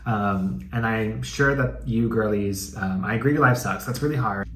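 A man's voice: on the low side, normal speed, a bit bright. A young American man hosting a comedic video, reading aloud and commenting in a chatty, slangy, playfully dramatic way. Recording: echoey room with some background noise.

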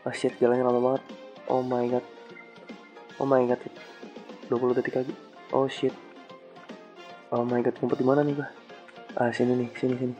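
A man's voice: medium pitch, completely flat delivery, normal speed, long pauses, mellow, faint music behind. A young man with a stuffy nose from a cold, giving casual, slangy game commentary with English exclamations. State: has a cold. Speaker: gaming channel host.

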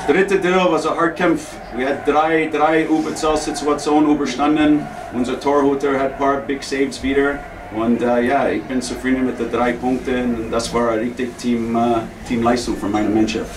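A man's voice: mid-range voice, level tone, moderate pace. A man in his forties, a hockey coach recapping a game in simple, non-native phrasing with English words mixed in. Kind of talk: post-game press conference recap. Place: indoor press conference room. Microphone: handheld microphone. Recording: echoey, noisy room, distant sound.

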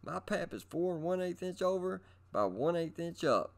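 A man's voice: high-pitched, animated, normal speed, gravelly. A middle-aged man giving scripted instructional narration in an upbeat presenter manner, speaking straight to his viewers. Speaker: host of a bowling instruction video.

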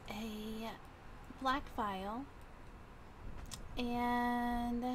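A woman's voice: a little high, fairly even intonation, extremely slow, noisy background. A woman chatting casually during in-game roleplay as a streamer, hesitant and often thinking aloud.

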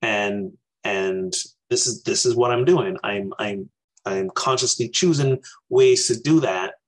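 A man's voice: on the low side, slow pace, poor audio. A man in his forties from Barbados, giving an earnest, reflective talk over a video call from a small room. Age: 40s. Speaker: minister. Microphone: webcam on a video call.

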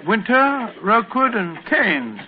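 A man's voice: sits high, very animated, takes it slowly, a little hiss or hum, exaggerated voice. An actor playing a bluff, good-natured older male doctor, hesitant and stammering, in a 1940s radio drama. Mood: puzzled. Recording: tinny old radio broadcast.

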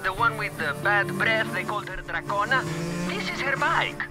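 An actor playing a chatty, scheming male rebel mechanic who talks in a lively, teasing way. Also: high voice, moderate pace, natural voice. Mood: playful. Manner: lively, teasing, sing-song. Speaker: game character. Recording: game audio over loud music. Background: loud game music.

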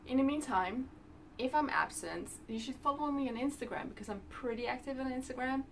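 A woman's voice: high voice, fairly quick, a bit bright, recorded from a distance, slight background noise. A woman in her twenties from the Netherlands with a Dutch accent, chatting casually to camera from her bedroom, with lots of ums while she talks with her hands. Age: 20s. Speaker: vlogger. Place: small bedroom indoors.

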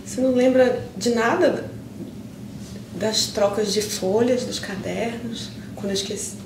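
A young actress with a Brazilian accent playing an emotional woman pleading with a long-lost friend in improvised theatre, speaking haltingly with pauses. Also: on the high side, fairly even intonation. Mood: tentative, pleading. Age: young adult. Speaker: stage actress. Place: indoor theatre stage. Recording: roomy, distant, noisy stage recording.

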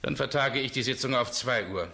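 An actor playing a formal, authoritative presiding judge in a German radio play, with a gravelly voice. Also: male voice, mid-range voice, a little fast, pushed and bright.

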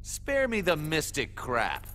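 An actor playing a cocky, irritable young assassin in a video game, brash, slangy and sarcastic. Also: male voice, on the high side, wildly swooping pitch, slow pace, bright, forceful tone, a little hiss or hum, full character voice. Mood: cocky, irritated. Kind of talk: animated video game acting.

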